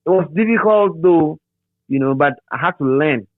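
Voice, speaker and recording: A middle-aged Ghanaian man reminiscing as an interview guest in a halting, repetitive, animated storytelling manner. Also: a little high, no echo. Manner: halting, repeats words and phrases, animated storyteller. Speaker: interview guest, radio DJ and presenter. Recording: thin phone-like sound.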